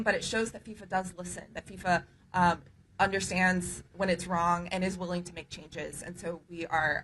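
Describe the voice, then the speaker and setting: A woman human rights advocate making a measured press conference statement, pausing often on "um". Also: on the low side, sharp tone. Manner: measured, many "um" pauses. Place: news conference room.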